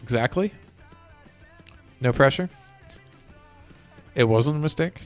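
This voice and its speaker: A man's voice: medium pitch, lively intonation, slow. Casual man streaming a game over music. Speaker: livestreamer. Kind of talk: casual gaming chat. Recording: music playing behind the voice.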